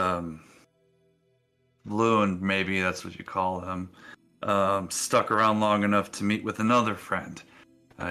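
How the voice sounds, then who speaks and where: A man plays a crude tavern bartender in a tabletop fantasy game. The voice is low and bright, halting and grudging as it passes on gossip. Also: clean tone. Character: gruff, gossiping, foul-mouthed tavern bartender.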